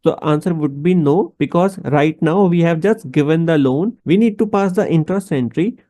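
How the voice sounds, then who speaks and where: Male instructor, Indian accent, fairly high mellow voice, methodical explainer who poses questions to the learner. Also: normal speed. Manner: didactic, step-by-step, addressing the listener directly. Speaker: accounting instructor. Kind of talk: explanatory tutorial with rhetorical questions.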